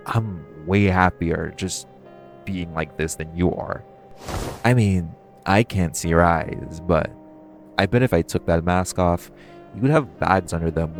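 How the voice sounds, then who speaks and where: A low-pitched, flirty male supervillain played by a man in an intimate audio roleplay. He is cocky and curious, teasing the listener in a casual, conversational way with playful pauses. Music plays clearly behind him. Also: a little mellow.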